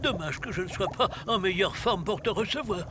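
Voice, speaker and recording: An actor playing a grumbly but kindly old magician priest in a cartoon, theatrical and grandly formal. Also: male voice, sits high, animated, a little fast, background noise. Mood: pained, grumbling. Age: older. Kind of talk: French cartoon voice acting. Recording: music behind the voice. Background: music.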